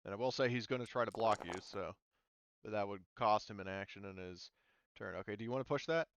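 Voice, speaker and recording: A man's voice: on the low side, fairly quick, a bit bright, clear, resonant tone, no room sound. A man running a tabletop roleplaying game as game master, casually explaining rules.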